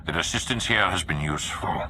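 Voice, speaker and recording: A man playing a grave, commanding Sith lord in a video game, with a solemn, deliberate and authoritative delivery. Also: sharp tone, slight background noise. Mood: measured doubt. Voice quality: very deep, gravelly. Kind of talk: video game cutscene acting.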